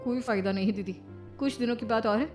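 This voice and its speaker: A woman's voice: on the high side, fairly even intonation, fast, darkish tone, soft background music, lightly acted. A woman playing a wronged young unmarried sister, earnest and defensive, in a protesting, pleading manner. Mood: aggrieved.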